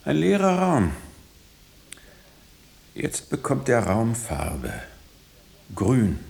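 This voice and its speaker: An actor playing a mysterious, insistent man in hiding who conjures visions in halting, suggestive phrases in a radio play. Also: medium pitch, wildly swooping pitch, gravelly, a little mellow, full character voice. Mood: insistent, conjuring. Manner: halting, suggestive phrases, sometimes dropping to whispers.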